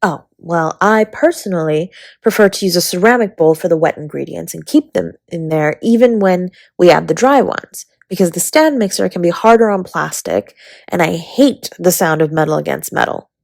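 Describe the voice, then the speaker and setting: Woman acting a husky, flirty dragon baker spouse. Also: on the low side, animated, moderate pace, a little mellow, dry recording. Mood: playful, instructive. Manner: teasing, intimate, often slipping into breathy whispers. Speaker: voice actor in roleplay audio. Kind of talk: romantic roleplay audio, ASMR-like.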